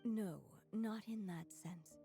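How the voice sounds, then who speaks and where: A woman's voice: medium pitch, slow, music in the background, lightly acted. A woman voicing a resolute, thoughtful writer in a video game, formal and earnest in delivery.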